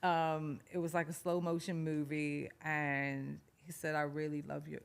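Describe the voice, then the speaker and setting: Animated woman telling a story. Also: low-pitched, a little slow, gravelly, smooth. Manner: animated, acts out quotes, laughs often. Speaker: singer-songwriter guest. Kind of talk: casual interview storytelling.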